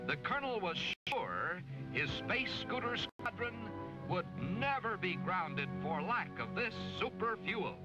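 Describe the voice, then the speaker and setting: An actor playing a dramatic storyteller, narrating an old children's space adventure cartoon in a theatrical, deliberate way. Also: male voice, high voice, dull audio, full character voice. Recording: old cartoon soundtrack, loud music.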